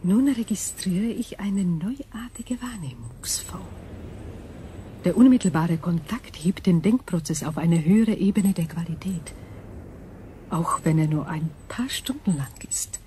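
An actress playing an ancient, calm and probing crystal being in a radio drama, speaking in a measured, formal, breathy way. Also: female voice, on the low side, slight background noise. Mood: reflective, cool. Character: ancient female super-crystal intelligence, calm, probing, wary.

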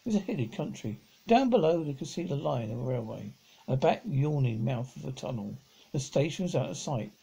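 A middle-aged man reads a children's story aloud, stumbling over words and rereading them, in a husky, gravelly voice. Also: medium pitch, sing-song, a little fast, warm tone, poor audio. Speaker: amateur audiobook reader. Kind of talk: reading a children's novel aloud. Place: living room at home, on a sofa.

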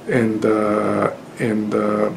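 An older man with a Mexican Spanish accent lectures slowly to a webcam in his book-lined study. His voice is gravelly, and he pauses often on fillers and restarts, with a faint room hum behind him. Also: on the low side, monotone. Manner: halting, many fillers and restarts. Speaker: lawyer and lecturer. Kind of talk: informal spoken lecture to camera. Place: home study with bookshelves. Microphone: webcam at desk.

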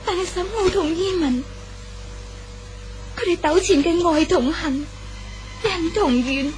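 An actress with a Hong Kong Cantonese accent playing a sorrowful, reflective young woman in a 1970s radio drama, speaking in a measured, wistful way. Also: extremely high pitch, a little mellow, a little hiss or hum, poor audio.

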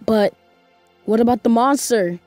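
A woman's voice: on the high side, a little slow, a little mellow, no room sound, faint music behind, lightly acted. A woman voice acting a cautious, frightened spaceship survivor in an amateur game roleplay. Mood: somber.

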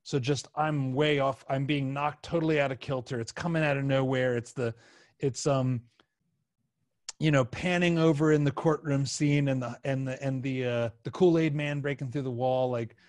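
A middle-aged man hosting a casual podcast, explaining ideas in a halting way as he thinks aloud. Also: mid-range voice, fairly even intonation, a little mellow, no room sound, studio quality. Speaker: podcast host, video editor. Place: indoor room, video call. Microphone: podcast mic on an arm, headphones.

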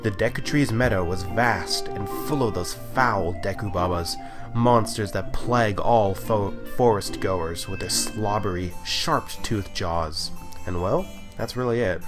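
A man's voice: fairly low pitch, measured pace. A man reading a scripted narrative poem aloud in a theatrical storytelling manner. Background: music.

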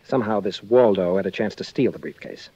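An actor playing a terse, guarded, wealthy husband in 1940s radio acting style. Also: male voice, fairly low pitch, quick pace, warm tone, slightly rough, no echo. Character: guarded wealthy married man, reluctant businesslike client. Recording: muffled, lo-fi 1940s radio recording.

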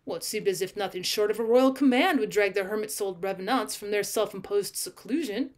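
A woman reading a classic short story aloud as scripted narration, expressive and deliberate, with emphasis on key phrases. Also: medium pitch. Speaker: teacher reading audiobook.

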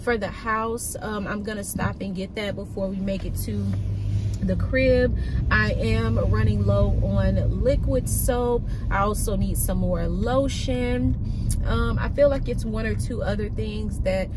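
A woman's voice: medium pitch, a little slow. A young woman chatting casually to her viewers while driving, folksy and talkative. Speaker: vlogger. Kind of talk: casual daily vlog chat. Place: inside a car. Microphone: phone camera on dashboard. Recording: loud car and road noise.

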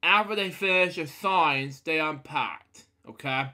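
A man reading a bedtime storybook aloud in a halting way, often breaking off to comment. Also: fairly high pitch, pushed and bright. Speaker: storybook reader. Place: indoor room at a computer.

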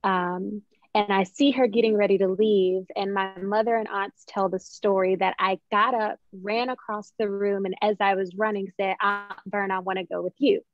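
A young adult woman telling personal stories in a reflective way, with thoughtful, measured phrasing. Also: medium pitch, steady tone, no echo. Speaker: guest playwright and poet. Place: small room at home, on a video call. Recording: dull, muffled video-call audio.

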